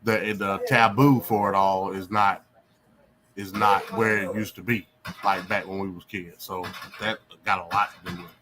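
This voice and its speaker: A man's voice: low-pitched, little pitch movement, moderate pace, crowd noise. A man speaking casually on a panel, hesitant at first and repeating words as he starts his thoughts. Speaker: podcast panelist. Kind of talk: casual chat.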